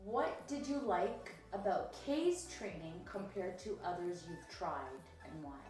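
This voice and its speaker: A woman interviewer asking clear questions. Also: on the low side, expressive, a little slow, a little mellow, very echoey, faint music behind, low-quality recording.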